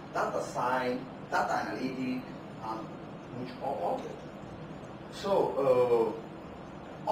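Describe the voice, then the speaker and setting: A man with a Spanish accent lectures at a conference, pausing often on fillers and restarting phrases. He talks without a microphone in a large hall, so his voice reaches the camera from far off. Heavy reverberation and steady background noise surround every word. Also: mid-range voice. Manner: unamplified, halting with many fillers and restarts. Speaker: keynote speaker. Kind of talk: academic conference keynote. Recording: echoey hall from far off, noisy.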